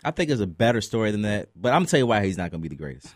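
A man co-hosting a sports talk radio show, opinionated and quick to argue, often tripping over his words. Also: fairly low pitch, no room sound, clean studio sound. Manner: fast, animated, opinionated, stumbles over words. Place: radio studio.

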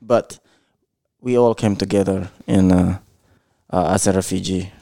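Man, 20s, from Somalia, low flat voice, slow hesitant speech, dry close sound. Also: gravelly, dry recording. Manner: hesitant, short answers with many uhs. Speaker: podcast guest. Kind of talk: casual interview answers.

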